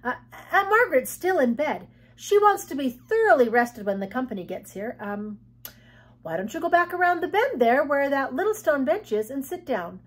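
A woman's voice: sits high, sing-song, moderate pace, voice pushed up. A woman voicing an anxious, earnest young girl with an urgent, pleading way of talking. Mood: flustered.

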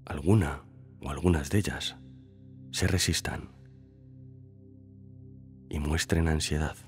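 Very deep-voiced man guiding meditation. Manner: calm, unhurried phrasing with long pauses. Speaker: meditation guide. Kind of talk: slow scripted guided meditation. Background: background music.

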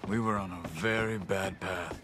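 An actor playing a calm, serious outlaw companion in a Western video game, speaking in measured, terse phrases. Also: male voice, low voice, noisy background, natural voice. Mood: grave. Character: calm, grave male outlaw companion in the Old West.

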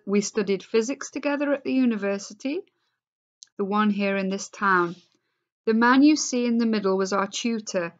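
Woman reader as thoughtful male scientist, gentle and earnest, storybook. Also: medium pitch, pitched above the performer's own voice, lightly acted.

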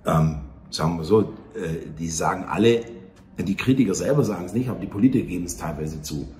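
A man in his fifties talking earnestly and haltingly in a casual interview. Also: deep voice, a little mellow. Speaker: theatre operator, interview guest. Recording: echoey room, faint ambient noise.

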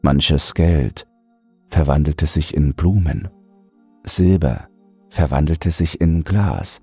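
A man narrating a scripted horror story in a measured, deliberate, suspenseful manner. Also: very deep voice, breathy edge, no room sound. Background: soft music.

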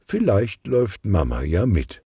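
A man narrating a children's detective story from a script in a husky voice. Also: fairly low pitch, huge pitch swings, measured pace.

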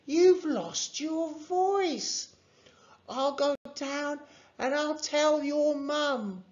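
A narrator voicing a loud, cheery woman window cleaner who yells in drawn-out high tones for a children's story. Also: muffled recording. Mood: sympathetic.

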